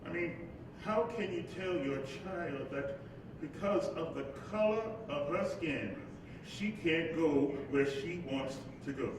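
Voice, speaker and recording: An actor playing the spirit of Dr. King, an earnest, reflective preacher and father, in a live stage monologue with halting asides. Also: expressive. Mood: troubled. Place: live stage before an audience. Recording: echoey hall, distant mic, noisy rumble.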